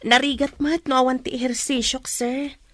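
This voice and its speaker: Humble sweet ingénue for Ilocano radio drama: high pitch, unhurried, close dry sound. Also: female voice, little pitch movement, no echo. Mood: gentle. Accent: Filipino, Ilocano. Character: humble, sweet young pregnant woman.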